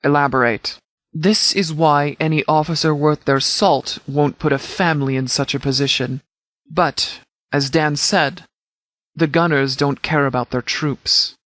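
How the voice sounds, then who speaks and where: A man playing a stern, composed sheriff in a video game, speaking with formal, clipped military authority in a slightly higher voice. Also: fairly even intonation, measured pace, fairly bright tone, dry recording. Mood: measured, serious. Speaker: commanding officer. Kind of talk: scripted video game dialogue.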